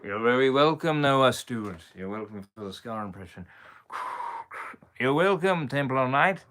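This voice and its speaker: A man hosting a live stream in a put-on pirate English drawl, playful and theatrical. His pitch swings widely from phrase to phrase. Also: expressive, normal speed, fairly bright tone. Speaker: impressionist streamer. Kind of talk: live stream shout-outs.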